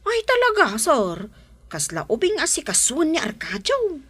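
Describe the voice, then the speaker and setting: A woman's voice: sits high, wildly swooping pitch, unhurried, a bit bright, heavily acted. A woman playing a chatty, respectful underling in a radio drama. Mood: eager. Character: chatty, respectful female underling discussing her boss.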